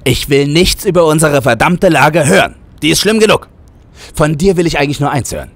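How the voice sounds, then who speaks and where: A man's voice: on the high side, big pitch swings, brisk, pitched above the performer's own voice. A man voicing an exasperated, preachy hitman who talks emphatically in a satirical audio play. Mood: agitated. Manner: emphatic, indignant, sweary.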